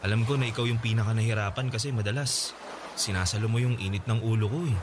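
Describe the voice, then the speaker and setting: A man's voice: on the low side, fairly even intonation, fast talker, natural voice. A man acting a hardworking boyfriend in a radio drama, reassuring and insistent. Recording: audible background hiss.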